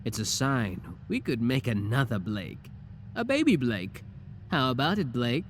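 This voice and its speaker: A man's voice: medium pitch, sing-song, unhurried, slight background noise. A male voice actor playing a shameless, flirty tiny clone scientist in an ASMR audio roleplay, teasing and suggestive in a theatrical way. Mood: excited, lewd.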